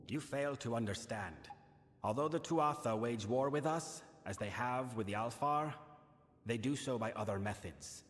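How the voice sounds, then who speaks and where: A male actor voicing a grave, burdened fae king in a fantasy game, speaking formally and in a measured, solemn manner. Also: mid-range voice, slight background noise, lightly acted. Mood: stern, formal. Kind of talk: scripted fantasy game dialogue. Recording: long reverberant hall, music behind. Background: music.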